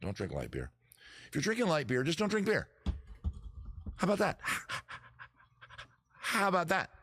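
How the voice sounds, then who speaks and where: A man's voice: fairly high pitch, huge pitch swings, moderate pace, fairly bright tone, slight background noise. A man hosting a live music and chat show, rambling casually to viewers in a self-deprecating way and swearing freely. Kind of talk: casual livestream chat with viewers, some improvised singing. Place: at home in the backyard.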